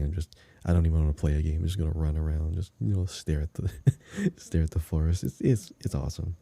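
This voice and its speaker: Man, low warm voice, very relaxed tone, enthusiastic casual podcast chat, dry close recording. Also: gravelly, breathy edge, no echo. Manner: enthusiastic, emphatic. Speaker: podcast co-host. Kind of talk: casual chat about a video game.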